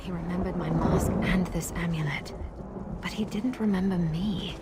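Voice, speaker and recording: Woman playing a gentle, low-voiced fantasy heroine. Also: steady tone, normal speed, over loud music, lightly acted. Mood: disappointed. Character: gentle, earnest masked woman seeking her past. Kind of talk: fantasy video game acting.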